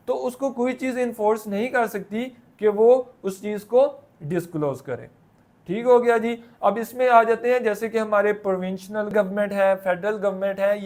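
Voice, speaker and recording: A man with a Pakistani accent explaining material like a lecturer, in a colloquial way with repeated filler phrases and English terms mixed in. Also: high voice. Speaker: law lecturer.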